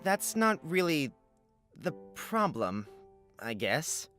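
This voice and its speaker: A man's voice: a little high, very animated, slow, a bit bright, soft background music, full character voice. A man playing an uneasy young traveler lost in a forest, speaking with questioning uncertainty in a visual novel. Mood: curious, puzzled. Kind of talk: visual novel character acting.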